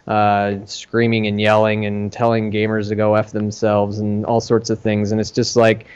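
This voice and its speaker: A man hosting a casual podcast chat about games and movies, speaking in halting, hesitant phrases. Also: lowish voice, monotone, darkish tone, clean tone, dull audio. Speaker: podcast host.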